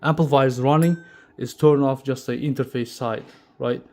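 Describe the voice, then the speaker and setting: A man who speaks English as a second language gives a casual, unscripted product demo as a video host, talking haltingly and checking in with short tag questions. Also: mid-range voice, fairly even intonation, darkish tone. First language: not English. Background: music playing, amplifier fan.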